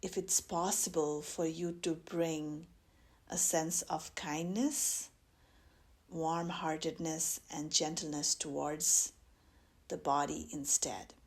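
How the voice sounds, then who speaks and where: Slow, low-voiced woman guiding meditation. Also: steady tone. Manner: gentle, measured, with long pauses. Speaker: mindfulness meditation teacher. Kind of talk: guided meditation instruction.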